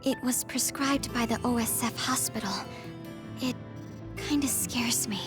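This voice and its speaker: High, gentle, timid anime girl played by a woman. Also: fairly even intonation, a little slow, close to an everyday voice. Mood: uneasy. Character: gentle, timid, kind young woman squad member. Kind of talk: English-dubbed anime game acting. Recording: game music behind the voice.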